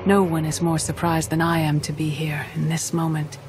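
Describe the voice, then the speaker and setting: An actress playing a calm, wise mystic mentor in a video game, speaking in a measured, earnest way. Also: female voice, sits low, background noise, lightly acted. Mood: earnest, serene. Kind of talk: dramatic video game acting. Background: background music.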